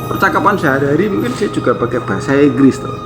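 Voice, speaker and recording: A man's voice: a little high, expressive, fast. An Indonesian man giving an informal opinion monologue to viewers, conversational, repeating phrases for emphasis and asking rhetorical questions. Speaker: YouTube commentator, host. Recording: loud tinkling mallet music under voice. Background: loud glockenspiel and marimba music.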